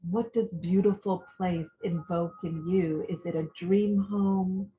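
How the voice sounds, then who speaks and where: A woman coach leading a guided visualization meditation, giving soothing, gentle instructions with long pauses. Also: on the low side, steady tone, highs cut off.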